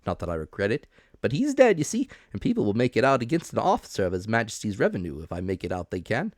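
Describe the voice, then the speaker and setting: A man voicing a hearty, blustering revenue officer, brisk and emphatic, in a dramatic audiobook reading. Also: fairly low pitch, wildly swooping pitch, darkish tone, no room sound, clean studio sound.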